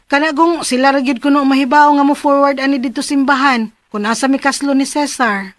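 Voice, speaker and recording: An actress playing a diligent, excitable young bride with a Cebuano accent in a radio drama. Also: female voice, sits high, fairly quick, dry recording, clean studio sound, close to an everyday voice. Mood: reassuring. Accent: Filipino, Cebuano. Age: young adult.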